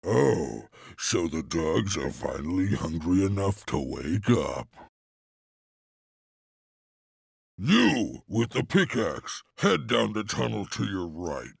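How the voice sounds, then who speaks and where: A man's voice: sits low, vocal fry, slightly rough, dry recording, studio quality, full character voice. A man voicing a cruel, bullying taskmaster in a video game, sneering and domineering as he gives orders. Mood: contemptuous, bossy. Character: cruel, bullying serpent-clan slave taskmaster. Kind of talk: theatrical video game villain acting.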